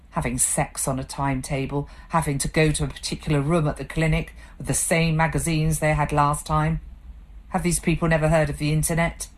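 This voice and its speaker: A woman voicing a man, a bitter, drinking husband who has just lost his job, speaking with sullen self-pity. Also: low voice. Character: bitter drunk husband, recently fired.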